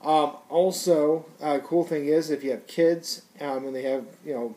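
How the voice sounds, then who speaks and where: A man's voice: on the high side, steady tone, measured pace, warm tone, low-quality recording. A man giving a casual, unscripted product review in a rambling, conversational, slangy way.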